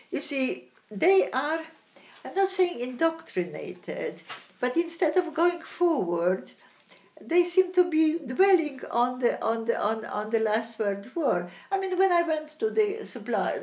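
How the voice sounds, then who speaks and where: An older woman with a Polish accent, a retired teacher telling stories in an interview, lively and halting with many restarts. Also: sits high. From Poland. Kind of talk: interview storytelling.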